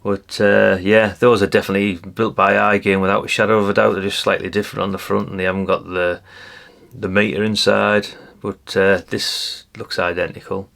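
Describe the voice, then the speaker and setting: A man's voice: fairly low pitch, level tone, brisk, creaky, fairly bright tone. A man with an English accent giving a casual, unscripted show-and-tell commentary in a rambling, matter-of-fact, colloquial way. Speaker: hobbyist presenter.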